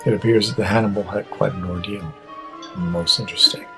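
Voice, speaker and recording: A man's voice: low-pitched, steady tone, fairly quick, music in the background. A man playing a dry, formal, cerebral starship officer in a sci-fi audio drama. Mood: dryly amused.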